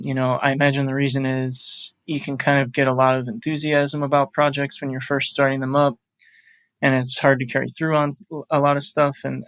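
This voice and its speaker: A man on a podcast team, giving thoughtful, measured answers in a casual chat over a video call. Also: medium pitch, a bit bright, no room sound.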